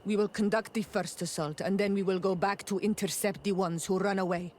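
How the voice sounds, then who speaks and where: A woman's voice: medium pitch, steady tone, brisk, a little mellow, slight background noise, lightly acted. Female actor, young tribeswoman, earnest, proud, formal, respectful, video game cutscene, light ambience.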